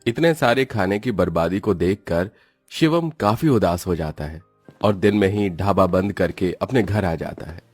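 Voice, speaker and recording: A man's voice: low-pitched, expressive, moderate pace, husky, no room sound, soft background music. A man reading scripted narration for a cartoon story.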